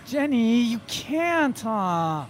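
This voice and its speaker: A woman's voice: on the high side, very animated, very slow, soft background music, full character voice. A woman playing a sardonic, irresponsible young male roommate in a naturalistic comedy audio drama. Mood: indignant.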